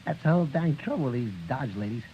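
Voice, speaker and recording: An actor playing a rowdy, sneering cowboy sidekick out for trouble in a 1950s radio western. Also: male voice, mid-range voice, animated, fast talker, close to an everyday voice. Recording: dull old radio with faint noise.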